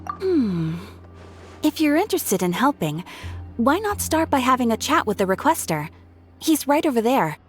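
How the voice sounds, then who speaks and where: A woman's voice: high voice, big pitch swings, normal speed, faint music behind. An actress playing a polite, formal female guild receptionist in a video game.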